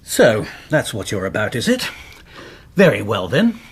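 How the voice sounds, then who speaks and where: An actor playing a tense, guarded gentleman in a radio drama, formal, curt and easily indignant. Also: lowish voice, wildly swooping pitch, measured pace, a little hiss or hum. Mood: stiff, irritated.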